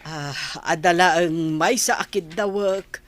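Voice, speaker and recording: A woman acting an older grandmother who is arranging her grandson's wedding, speaking in a measured, earnest way in a radio comedy drama. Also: fairly low pitch, fairly even intonation.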